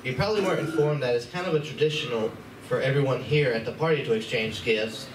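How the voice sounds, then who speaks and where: A man's voice: mid-range voice, fast talker, recorded from a distance, natural voice. A man playing a nervous, hesitant convention helper in a live amateur radio play, in a noisy, echoey room. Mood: anxious, explaining. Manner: hesitant, awkward. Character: nervous, awkward male convention staffer, eager to help. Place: large indoor room at a convention.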